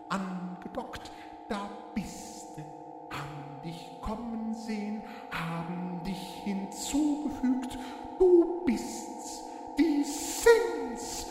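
Casting: enigmatic radio-play guide, very slow rhythmic chant with wide melodic swings, music behind. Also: female voice, medium pitch. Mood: incantatory, welcoming. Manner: repetitive, incantatory, deliberate wordplay. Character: cryptic female guide chanting riddles to a newcomer. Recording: reverberant hall with music.

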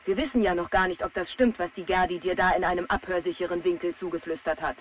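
A woman playing a sensible, brisk hairdresser speaking over a radio intercom in a children's radio play. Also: fairly low pitch, fairly even intonation. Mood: sceptical, firm. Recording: distant, noisy radio link.

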